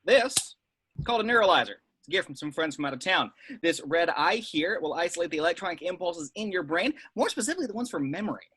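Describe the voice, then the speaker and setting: A man plays a brusque secret agent in a film script read-through. The voice is high-pitched and swings widely, with a sharp edge. He is clipped, sardonic and used to giving orders. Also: sing-song, fast talker, breathy edge, exaggerated voice. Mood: controlled, authoritative. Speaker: amateur actor at a table read.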